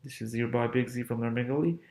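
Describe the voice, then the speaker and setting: A young man in his twenties teaching a casual language lesson, repeating phrases slowly with relaxed, chatty asides. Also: mid-range voice, gravelly. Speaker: language tutor.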